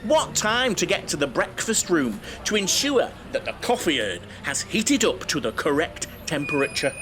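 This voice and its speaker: Actor as fussy, high-pitched chatty Englishman. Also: noisy background, close to an everyday voice. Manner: theatrical, self-important, over-familiar. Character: chatty, camp, fussy male coach-trip enthusiast. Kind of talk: comic audio drama dialogue.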